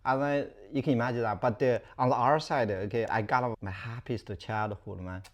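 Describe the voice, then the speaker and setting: A man in his forties with a Chinese accent speaks casually as an interview guest. His English is non-native in its phrasing, and he tends to repeat short phrases as he explains things. His pitch sits in the middle range for a man. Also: steady tone, brisk. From rural southwest China. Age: 40s. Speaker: guest, startup founder.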